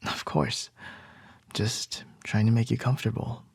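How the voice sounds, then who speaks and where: A man playing a calm, charming male CEO boss in an ASMR roleplay, soft and reassuring with a light teasing edge. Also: medium pitch, measured pace, gravelly, husky, no room sound, heavily acted. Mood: soothing.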